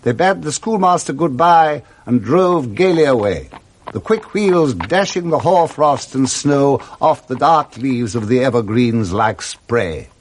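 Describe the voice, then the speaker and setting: A man narrating a literary tale for 1960s radio drama in a theatrical, expressive storytelling manner. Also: mid-range voice, unhurried.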